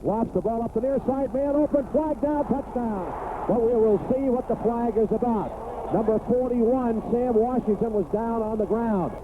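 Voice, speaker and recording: American guy doing football play by play, high voice, clipped lines, old tinny radio sound. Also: moderate pace. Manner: clipped phrases building to excited calls. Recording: tinny, noisy 1980s AM radio broadcast.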